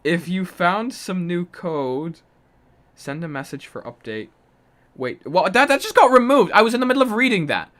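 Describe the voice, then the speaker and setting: A man's voice: sits high, sing-song, normal speed, a bit bright. Chatty Polish-born man streaming live. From Poland. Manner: chatty, laughs often, reads chat aloud. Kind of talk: casual live-stream chat.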